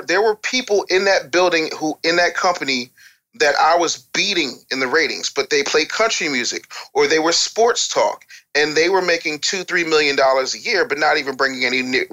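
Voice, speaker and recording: American man, interview guest, sharp bright tone, fairly high pitch, emphatic, candid, streetwise. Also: fairly quick, poor audio. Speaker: interview guest, former radio DJ and record maker. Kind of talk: candid conversational interview talk.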